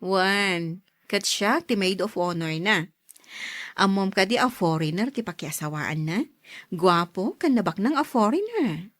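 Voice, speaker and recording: Frank, chiding sister, very animated. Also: female voice, medium pitch, a little slow, dry recording, clean studio sound. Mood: matter-of-fact. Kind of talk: radio drama acting.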